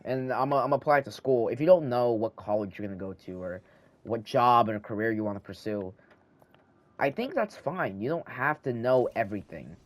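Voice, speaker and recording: Teen guy, slight lisp, mid-pitched, meandering gamer ramble into a handheld mic. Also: expressive, normal speed. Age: teens. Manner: meandering, thinking aloud, frequent restarts. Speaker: gaming channel host. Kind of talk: rambling casual talk over gameplay. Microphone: handheld microphone.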